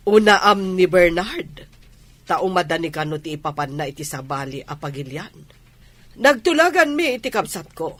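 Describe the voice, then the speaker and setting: A woman's voice: lowish voice, big pitch swings, moderate pace, fairly bright tone, no echo. A woman playing a determined mother in a radio drama, with an Ilocano Filipino accent. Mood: firm. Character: firm, determined mother sending her son abroad.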